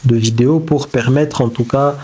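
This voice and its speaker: Guy talking slow and thoughtful about self-growth, french isn't his first language, keeps restarting. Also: mid-range voice, steady tone, dark tone, slightly rough. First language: not French. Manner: halting, searching for words with many restarts. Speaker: self-development video creator. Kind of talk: reflective motivational talk. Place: indoors beside a whiteboard diagram.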